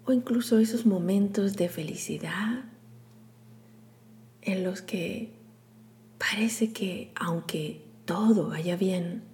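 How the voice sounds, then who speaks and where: A woman leading a guided meditation in a soothing, hushed, breathy delivery with long pauses. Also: medium pitch. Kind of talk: guided meditation, scripted narration.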